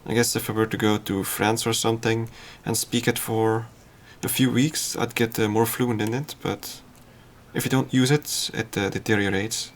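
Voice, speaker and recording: A man whose first language is Dutch, casually talking through his game on a livestream in a halting, thinking-aloud way. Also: mid-range voice, fairly even intonation, a little hiss or hum. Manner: halting, self-deprecating, thinks aloud with fillers. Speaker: streamer.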